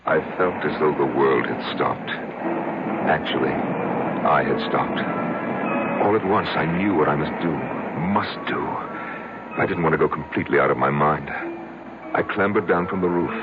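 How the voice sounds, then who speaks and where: An actor playing a haunted man confessing his strange past, with halting, repetitive, dramatic delivery in an old-time radio drama. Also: rumbling low, over loud music. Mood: intense, haunted. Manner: halting, repeating, dramatic pauses. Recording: noisy, dull old radio broadcast.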